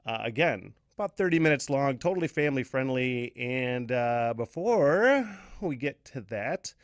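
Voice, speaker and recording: A man's voice: mid-range voice, lively intonation, unhurried, no room sound, clean studio sound. A man hosting a podcast, talking casually and directly to listeners in a chatty, folksy way. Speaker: podcast host.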